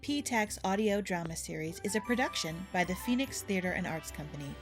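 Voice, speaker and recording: A woman reading scripted narration as announcer in a measured, formal way. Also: medium pitch, lively intonation, soft background music.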